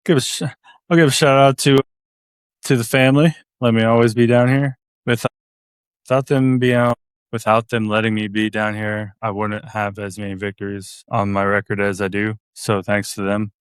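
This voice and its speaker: A man's voice: fairly low pitch, flat delivery, moderate pace, smooth, no room sound. A man talking casually as a sim racer guest on a podcast. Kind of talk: casual interview talk.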